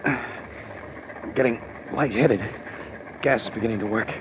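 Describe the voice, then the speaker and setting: A man's voice: mid-range voice, takes it slowly, close to an everyday voice. An actor playing a tough, protective newspaperman hero giving terse, urgent orders in an old-time radio crime drama. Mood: strained, urgent. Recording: noisy, muffled old radio recording.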